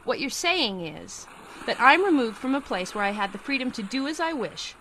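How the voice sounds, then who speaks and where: Woman as a high-voiced, defiant space commander. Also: sing-song, moderate pace, sharp tone, a little hiss or hum, heavily acted, poor audio. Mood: arguing. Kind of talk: old-time radio sci-fi serial acting.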